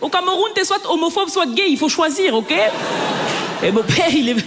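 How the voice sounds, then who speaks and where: A woman in her twenties from Belgium performing a lively stand-up comedy set, telling stories and addressing the audience directly. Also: very high-pitched, moderate pace. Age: 20s. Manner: lively storytelling, talks to the audience. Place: comedy stage before a live audience. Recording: live stage set with long reverb, clear crowd noise.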